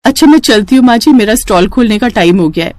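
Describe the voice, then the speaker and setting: A woman's voice: mid-range voice, lively intonation, quick pace, a little mellow, dry recording, pitched above the performer's own voice, close to an everyday voice. A woman voicing a polite, deferential young daughter-in-law in a cartoon story. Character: polite, hard-working young daughter-in-law, secretly a police inspector. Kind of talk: Hindi cartoon moral story.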